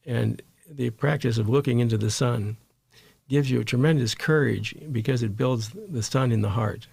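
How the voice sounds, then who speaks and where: A man speaking as an interview guest and meditation teacher, in a conversational, halting way that repeats words and phrases. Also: medium pitch, creaky, a little mellow, slightly rough, no echo.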